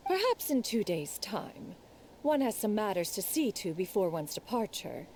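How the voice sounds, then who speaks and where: A woman's voice: mid-range voice, sing-song, moderate pace, a little mellow, slight background noise. A woman voicing a proud, aloof immortal elder master in a fantasy game, with formal, archaic, haughty diction. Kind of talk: animated fantasy game acting.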